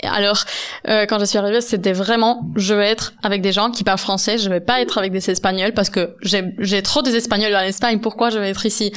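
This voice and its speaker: Woman, smooth clear voice. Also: medium pitch, fairly even intonation, brisk, fairly bright tone. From Catalonia, Spain. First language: Catalan. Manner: earnest, laughs often, searches for words in French. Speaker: podcast host. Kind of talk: casual conversation.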